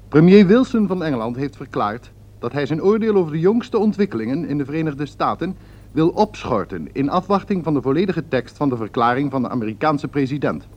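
A man playing a formal radio news correspondent who delivers measured reports, in a dull lo-fi radio recording. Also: on the high side, darkish tone.

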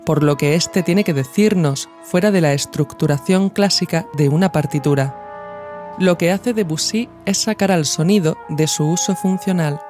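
A narrator reads a scripted audio essay in a measured, reflective way, phrasing each thought deliberately. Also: mid-range voice, a little mellow. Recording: music behind the voice. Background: music.

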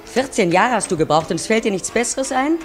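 A woman's voice: on the high side, animated, fairly quick, close to an everyday voice. A woman as a pleading wife over music. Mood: alarmed, reproachful. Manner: dramatic, intimate direct address. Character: weary, pleading railwayman's wife looking back on youth. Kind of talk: 1950s German radio play acting. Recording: 1950s radio recording over music.

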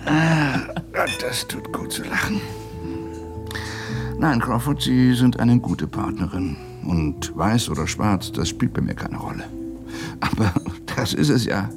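An actor playing a troubled middle-aged married police detective in a German radio drama, gruff but candid. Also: male voice, mid-range voice, slow, over music. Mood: amused, teasing.

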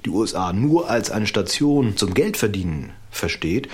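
A man's voice: fairly low pitch, big pitch swings, normal speed, slightly rough. A man speaking as a history expert in an interview, explaining things in an academic, carefully qualified way.

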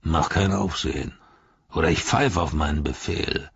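This is a vicious orc jailer voiced by a man who leans in with hissed, sneering threats. The voice is deep and rough with breath. It is a dry, muffled audiobook recording. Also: normal speed, fairly bright tone, full character voice. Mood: menacing. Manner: sneering threats, low and hissing. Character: menacing, snarling male orc guard with a knife.

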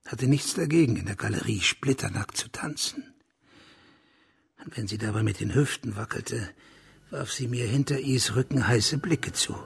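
An actor playing a bitter, proud last heir of a declining family in a radio crime drama, scornful and insinuating, sometimes dropping to a breathy murmur. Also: male voice, medium pitch, moderate pace, exaggerated voice. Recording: music behind the voice.

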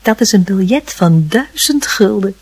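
An actress playing a gentle, patient mother who soothes her small son in a radio drama. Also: medium pitch, expressive, a little slow, husky. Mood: matter-of-fact.

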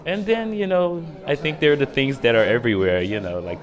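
A young man from Israel chatting casually as an interview guest, speaking in a hesitant, reflective, halting way. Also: mid-range voice. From Dimona, Israel. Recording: music playing behind the voice.

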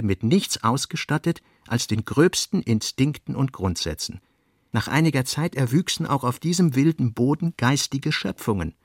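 Guy narrating a novel, medium pitch, deliberate and articulate, very dry recording. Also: dry recording. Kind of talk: literary scripted narration.

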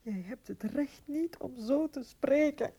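A woman acting in a radio drama, playing a devoted dwarf noblewoman, a loving wife and mother, in a tender, expressive way. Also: high-pitched, measured pace, slight background noise. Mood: indignant.

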